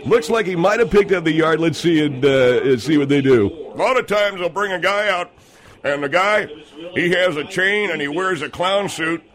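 A man's voice: on the high side, lively intonation, moderate pace, a bit bright. A man doing a comedic football play-by-play, rambling with many fillers and digressions. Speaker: sports announcer.

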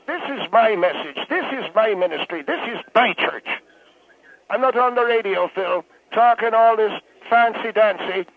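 A man's voice: sits high, voice pushed up. A man voicing a cantankerous, oblivious old Irish priest who rambles indignantly on a comic radio call-in. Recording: thin, phone-like radio call.

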